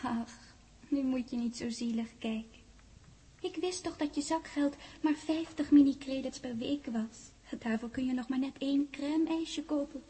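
An actress playing a tender, teasing young woman from a man's memory, in 1960s radio drama style with lyrical, deliberate phrasing. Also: sits high, warm tone, close to an everyday voice. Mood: playful, coaxing.

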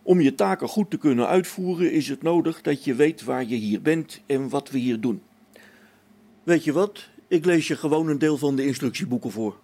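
A practical space station engineer played by a man with a creaky, mellow voice that has some husk to it. He explains patiently and matter-of-factly in a science fiction radio play. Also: a little high, normal speed. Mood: patient, instructive.

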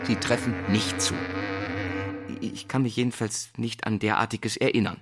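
A man's voice: on the low side, fairly even intonation, moderate pace, loud music behind the voice, natural voice. An actor playing a gifted young male teacher in a drama, defensive and brittle, clipped and proudly self-assured. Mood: defiant. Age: young adult. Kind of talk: German stage-style radio play dialogue.

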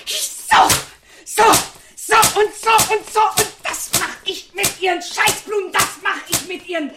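An actress playing a drunk, tearful, combative wealthy wife in a stage-like drama, shrill and vulgar in her indignation. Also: unhurried, heavy background noise. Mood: hysterical fury.

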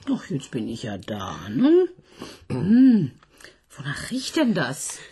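A woman's voice: sits low, huge pitch swings, slow, dark tone, husky, exaggerated voice. An actress playing a police chief inspector in a radio crime drama, dry, wry and commanding with her colleague.

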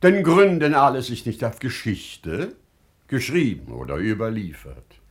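Slow, expressive wise storyteller. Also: male voice, medium pitch, breathy edge. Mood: earnest, insistent. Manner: measured, deliberate, dramatic phrasing with weighty pauses. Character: wise, humble storyteller addressing a sultan with a parable. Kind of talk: classical German stage-style radio play acting.

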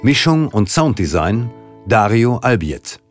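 A man's voice: fairly low pitch, sing-song, slow pace, faint music behind. A man reading scripted credits as the announcer of a radio play.

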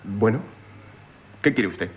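An actor playing a proud, gruff nobleman who scorns women, theatrically, in a radio play. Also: on the low side, lively intonation, slow pace, highs cut off. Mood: gruff, guarded. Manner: haughty, wry, muttering asides. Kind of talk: theatrical radio play acting in Castilian Spanish. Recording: noisy 1970s radio drama recording.

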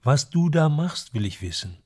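A man's voice: fairly high pitch, very animated, normal speed, a little mellow. An actor playing a sober, cautious and sceptical man in a radio drama, speaking in terse, dry, understated lines. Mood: needling. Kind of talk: naturalistic radio drama dialogue.

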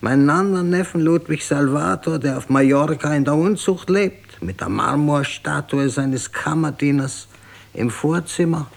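An actor playing a bitter, weary old Austrian emperor in a radio drama, grumbling and brooding with an Austrian accent and a creaky, gravelly voice. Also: male voice, on the high side, normal speed. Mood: bitter, indignant.

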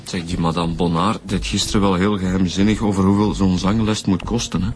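A man's voice: deep voice, steady tone, fairly quick, background noise, lightly acted. An actor playing a teasing, informal male detective in a radio drama. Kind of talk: naturalistic radio drama acting.

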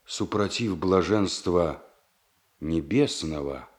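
An actor playing a wry, unctuous older courtier prince in a classical radio play. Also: male voice, low-pitched, slow pace. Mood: soothing. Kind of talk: classical stage acting for radio.

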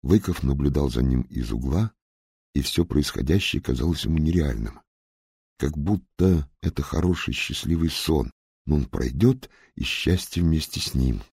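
Low, gravelly, husky male narrator. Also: lively intonation, normal speed, a little mellow, dry recording, studio quality. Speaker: audiobook narrator. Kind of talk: scripted literary narration of a novel.

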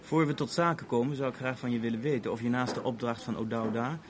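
A male actor as an urbane French police officer in an old radio play, heard through a noisy recording. He is wordy and measured, dryly ironic, with a cool edge of warning in his formality. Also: mid-range voice, fairly even intonation, natural voice. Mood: businesslike. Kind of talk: 1970s Dutch radio drama acting.

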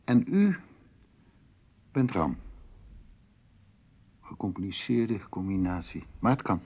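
An insinuating musician who poses as a seer in a radio drama. His voice is low and rough-edged, with big pitch swings and a knowing, mannered delivery. The sound is slightly muffled. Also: big pitch swings, takes it slowly, exaggerated voice. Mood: knowing, mysterious. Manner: mannered, knowing, falsely modest. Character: enigmatic, insinuating male musician guest, self-styled clairvoyant. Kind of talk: naturalistic Dutch radio play acting. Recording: dull, lo-fi radio play recording.

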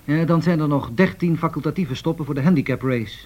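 An actor playing a decisive 1930s airline captain in a radio drama, matter-of-fact and in command. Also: male voice, a little high, fairly quick, a little mellow, natural voice. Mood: businesslike. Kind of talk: Dutch radio drama acting. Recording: dull, lo-fi radio recording.

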